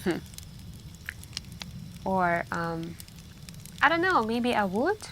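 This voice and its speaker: A soft-spoken woman telling scary stories in an ASMR style, hushed and intimate with pauses and drifts into whispering. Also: medium pitch. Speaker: ASMR roleplay performer playing a friend telling campfire stories. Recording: steady background ambience noise. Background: crackling campfire and night ambience.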